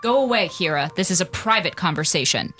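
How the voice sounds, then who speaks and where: A woman's voice: fairly low pitch, expressive, fairly quick, bright, forceful tone, soft background music. A woman playing a stern, insecure space station commander in a radio drama, bossy and demanding. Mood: suspicious.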